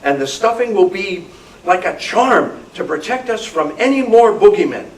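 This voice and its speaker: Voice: mid-range voice, expressive, moderate pace, close to an everyday voice. A performer voicing an imaginative, stubborn little boy in a live spooky radio play reading, with a whiny, dramatic delivery. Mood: glum, ominous. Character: little boy Ira, excitable, stubborn, imaginative, fearful of boogeymen. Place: club meeting room, live reading. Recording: roomy distant microphone, noisy meeting room.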